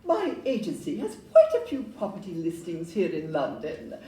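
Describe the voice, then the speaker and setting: A woman's voice: lowish voice, huge pitch swings, moderate pace, warm tone. A woman acting a polite, businesslike young Victorian house agent in a live audio play. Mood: bright, introducing. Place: live performance in a club room. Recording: distant roomy live recording, faint noise.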